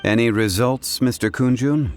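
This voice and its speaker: An actor playing a calm, dignified scholarly adviser in a video game, speaking formal lines in measured, deliberate English. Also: male voice, medium pitch, a little hiss or hum, lightly acted. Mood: calm, pondering. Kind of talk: scripted video game dialogue.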